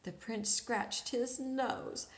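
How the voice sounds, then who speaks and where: A woman reading a children's story aloud expressively, pausing to chat and ask the young listeners questions. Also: a little high, fairly even intonation, echoey room. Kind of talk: animated children's read-aloud.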